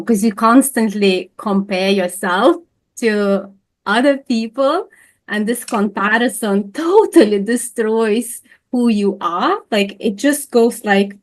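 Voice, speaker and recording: Friendly woman host, Lithuanian accent. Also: mid-range voice. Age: middle-aged. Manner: friendly, affirming, quick back-channel responses. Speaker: podcast host. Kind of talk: casual interview chat.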